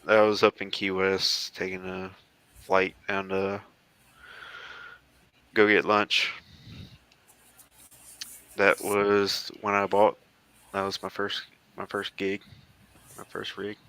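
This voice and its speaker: An American man in his thirties chatting casually as he talks through old photos, halting and rambling as he goes. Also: sits low, monotone, sharp tone, clean tone, no room sound. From southeastern United States. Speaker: guest, former Marine air traffic controller.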